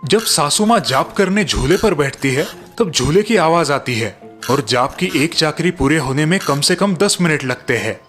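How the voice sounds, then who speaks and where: A man's voice: on the high side, expressive, normal speed, fairly bright tone, faint music behind. A man reading scripted narration for a cartoon story.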